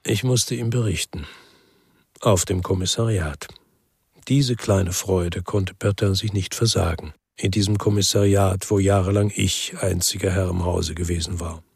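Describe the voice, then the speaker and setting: An actor playing a weary, bitter former policeman who narrates a noir crime radio drama in a measured, laconic way. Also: low voice, fairly even intonation, vocal fry, darkish tone, husky, no echo, clean studio sound, heavily acted.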